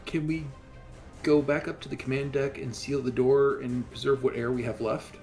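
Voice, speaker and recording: A man's voice: mid-range voice, little pitch movement, normal speed, a little mellow, faint music behind. A man playing a tabletop game, stating his character's plans directly. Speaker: player. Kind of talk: casual tabletop play.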